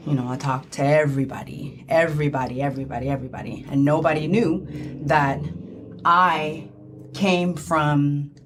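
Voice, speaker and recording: A middle-aged woman co-hosting in casual conversation, halting and repeating her words for emphasis. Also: sits low, steady tone, over music. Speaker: podcast co-host asking questions.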